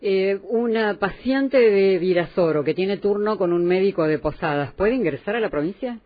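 A woman's voice: mid-range voice, animated, normal speed. Argentine woman radio host, dull sound. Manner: brief prompts, plain questions. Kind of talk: radio interview.

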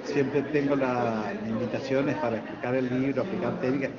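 An older man with a northeastern Argentine accent answers interview questions as a retired professor, in an earnest, explanatory and halting manner. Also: medium pitch, fairly even intonation. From Puerto Iguazú, Misiones, Argentina. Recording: dull lo-fi, loud crowd chatter.

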